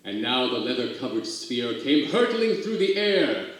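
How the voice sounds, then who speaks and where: A man giving a dramatic poetry recital, with expressive, theatrical storytelling and drawn-out emphasis. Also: medium pitch. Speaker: reader of poetry for a theater company. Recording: very echoey, roomy sound.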